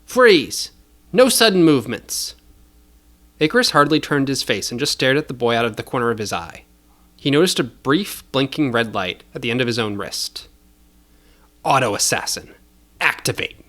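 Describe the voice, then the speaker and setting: A man's voice: medium pitch, lively intonation, fairly quick, pushed and bright. A man narrating scripted fiction as a storyteller, in a dramatic style.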